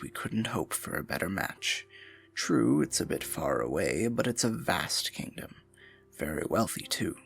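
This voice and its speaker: A man's voice: sits low, creaky, a bit bright, breathy edge, full character voice. A man playing a scheming royal advisor in a fantasy audio roleplay, silky, condescending and falsely sympathetic, often dropping to a murmur. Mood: smug. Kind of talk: fantasy ASMR roleplay acting, intimate and theatrical.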